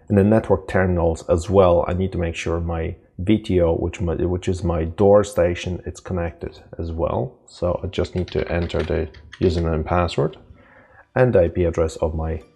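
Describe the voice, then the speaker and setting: A man presenting an informal, unscripted software tutorial, explaining step by step in a halting way. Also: low voice, creaky, slightly rough.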